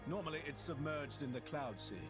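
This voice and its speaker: A man's voice: fairly high pitch, fairly quick, close to an everyday voice. A British man playing a brash, showy exiled prince who flusters easily, in a video game cutscene. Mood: confident explaining. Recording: music behind the voice.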